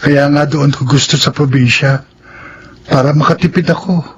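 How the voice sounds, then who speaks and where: A man's voice: on the high side, steady tone, normal speed, lightly acted. A man playing an earnest, thrifty and proud young groom-to-be in a radio drama. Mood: earnest, practical. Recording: dull, noisy radio drama recording with ambience.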